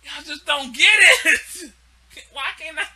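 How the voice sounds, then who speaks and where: A man's voice: very high-pitched, steady tone, takes it slowly, pushed and bright. A man hosting a live stream, delivering a heated, profane and repetitive ranting monologue.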